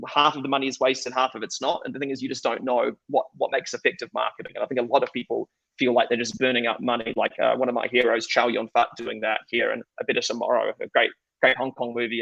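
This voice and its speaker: Fast-talking New Zealand man, gravelly. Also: medium pitch, fairly bright tone, dry recording, highs cut off. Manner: conversational, self-deprecating, frequent restarts. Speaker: conference speaker. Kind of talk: conference presentation.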